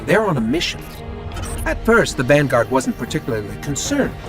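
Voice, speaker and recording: An actor playing a keen, wry male scout in a video game, giving briefings in a brisk, conversational way. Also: on the high side, huge pitch swings. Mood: intrigued, briefing. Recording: music behind the voice.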